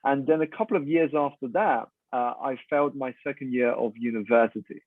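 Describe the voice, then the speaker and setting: A man speaks in a measured, reflective voice at a middle pitch. His voice comes over a tinny, phone-like line with no room echo, as he calmly tells his story as a guest. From United Kingdom. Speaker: guest, keynote speaker and coach. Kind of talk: calm interview storytelling.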